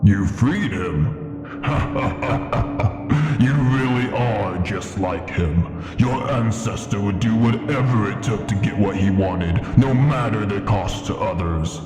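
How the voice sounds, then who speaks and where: Ancient proud dragon played by a man, low-pitched and imperious, unhurried formal delivery, fantasy ASMR roleplay. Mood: amused, approving. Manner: slow, imperious, formal and deliberate. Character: ancient proud male dragon in his cavern lair. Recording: echoing cavern reverb with music behind. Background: music.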